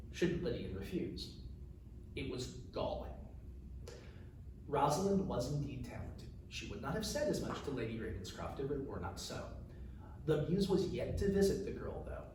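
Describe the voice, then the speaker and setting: Male author narrating fantasy prose, measured and formal, heard far from the mic in a very reverberant room. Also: on the high side. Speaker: author reading own novel aloud. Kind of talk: narrated fantasy fiction reading. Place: echoey room, recorded from a distance. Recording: distant mic, very echoey room, faint noise.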